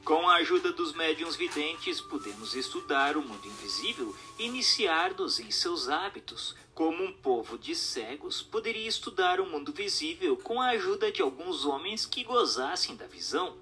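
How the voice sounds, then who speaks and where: A man's voice: fairly high pitch, big pitch swings, normal speed, few pauses, bright, forceful tone. A Brazilian man reading a book aloud as scripted narration, in a formal, didactic manner. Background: background music.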